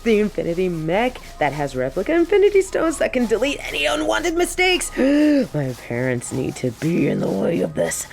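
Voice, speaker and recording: A young woman playing an excitable, sassy biker and machine nerd on a date in a romantic audio roleplay. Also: normal speed, creaky. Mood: gushing, excited. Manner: gushing and teasing, swinging between squeals and low deadpan. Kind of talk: romantic audio roleplay, scripted monologue to an unseen listener.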